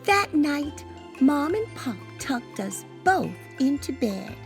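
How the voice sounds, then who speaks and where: Imaginative young boy narrator for a storybook, played by a woman: high voice, dramatic, unhurried, light music underneath. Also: exaggerated voice. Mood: wistful, earnest. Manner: dramatic, expressive, drawn-out delivery. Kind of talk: animated storybook read-aloud.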